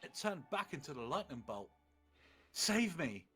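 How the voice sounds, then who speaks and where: A man's voice: on the high side, very animated, unhurried, pushed and bright, slightly rough. A man doing a comedic dramatic reading of fan fiction on a livestream, theatrical and mock-serious. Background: faint music.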